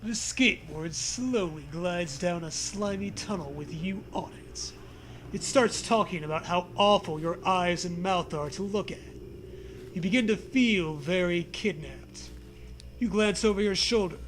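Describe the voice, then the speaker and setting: A man's voice: high voice, unhurried, fairly bright tone, soft background music. A man co-hosting a podcast, mixing gamebook reading with casual, dry joking asides. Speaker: podcast co-host. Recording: faint background noise.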